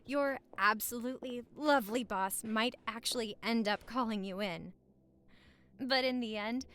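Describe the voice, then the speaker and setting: A woman acts out a young newlywed wife in a romantic audio roleplay. Her voice is high and swings widely in pitch as she banters. She comes across as affectionate, playful and teasing, chatting as if to her partner at home. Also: a bit bright. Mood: tender. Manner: bantering, teasing, sweet-talking.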